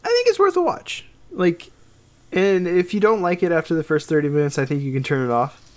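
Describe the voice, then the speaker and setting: A man's voice: fairly high pitch, very animated, a little fast. A man in casual, opinionated chat as a podcast co-host, explaining his points in qualified fragments. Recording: noticeable background noise.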